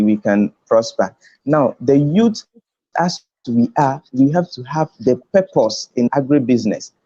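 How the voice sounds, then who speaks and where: Casting: Ghanaian man, mellow voice and slow delivery, emphatic motivational conference speaker who repeats himself for weight. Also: medium pitch. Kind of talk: motivational talk on a video conference. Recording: dull lo-fi online call audio.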